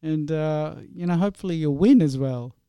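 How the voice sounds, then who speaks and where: A man from India hosts an interview in a friendly, rambling way. His voice is slightly high, mellow and smooth. The sound is dry. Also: normal speed, dry recording. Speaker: host.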